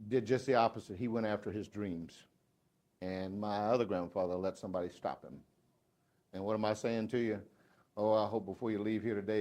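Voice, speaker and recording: Folksy Southern man giving a sales talk. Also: on the low side. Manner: folksy, joking, swings from loud to hushed. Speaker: motivational sales speaker. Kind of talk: live speech to a sales audience. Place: dealership meeting room.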